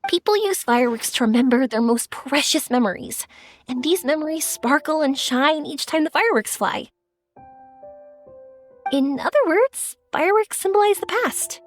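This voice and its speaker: A woman's voice: high voice, expressive, moderate pace. A woman playing a cheerful, sincere, bubbly young woman in a video game, speaking expressively over music. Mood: earnest, bright. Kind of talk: video game character acting.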